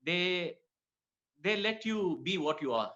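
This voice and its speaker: Higher-pitched man, dull lo-fi recording. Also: lively intonation, takes it slowly, pushed and bright. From Kuwait. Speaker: interview guest, dermatologist. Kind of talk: conversational interview answers. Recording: dull lo-fi audio.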